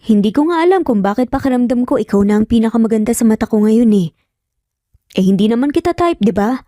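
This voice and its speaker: A woman voicing an earnest, teasing young male engineer in an audio romance story, speaking gently and sincerely with bits of English mixed in. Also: a little high, expressive, fast talker, no echo, clean studio sound, natural voice. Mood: tender, sincere.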